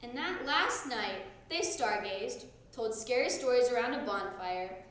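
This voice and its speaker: A young woman reading a children's storybook aloud with expressive narration. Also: a little high, a little slow. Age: young adult. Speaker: drama student reading aloud. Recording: very echoey room.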